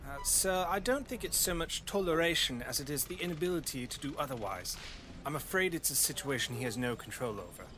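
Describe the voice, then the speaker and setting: An actor playing a cautious, deferential Imperial commander in a sci-fi audio drama, formal and placating. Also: male voice, fairly high pitch, animated, fairly quick, sharp tone, a little hiss or hum. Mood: uneasy.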